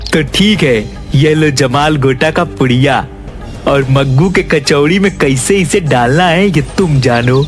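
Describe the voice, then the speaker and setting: A man's voice: a little high, measured pace, soft background music, natural voice. A man voicing a greedy, scornful father who sells sweets in a village, in a Hindi cartoon. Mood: conspiring. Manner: grumbling, scornful. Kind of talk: Hindi moral-story cartoon acting.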